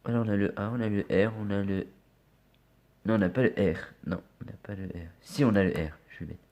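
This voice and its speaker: Man, low-ish voice, stuffy with a cold, casual commentary, mutters letters one by one. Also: a little fast, mellow, muffled recording. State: has a cold. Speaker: scratch card vlogger. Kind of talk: casual commentary while scratching tickets.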